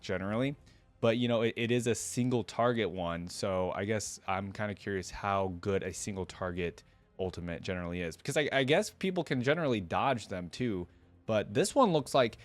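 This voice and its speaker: Low-voiced man, casual reaction host. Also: no room sound. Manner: informal, thinking aloud with frequent questions. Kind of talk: casual reaction commentary, reading aloud and riffing.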